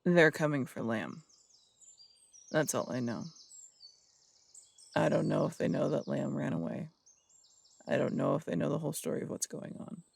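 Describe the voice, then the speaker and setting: A man's voice: a little high, normal speed, vocal fry, pauses often, no room sound. A man playing a blunt, distrustful city detective who speaks in curt, suspicious questions. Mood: grim. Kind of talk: audio roleplay drama acting.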